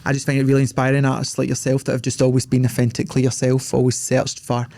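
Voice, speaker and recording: A young Scottish man hosting a podcast in a loose, rambling chat, leaning on fillers as he goes. His voice is dark and gravelly and stays fairly flat in pitch. The sound is dry and close, with no room in it. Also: fast, no room sound. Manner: chatty, rambling, full of "like" fillers. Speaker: podcast host. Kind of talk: casual interview chat.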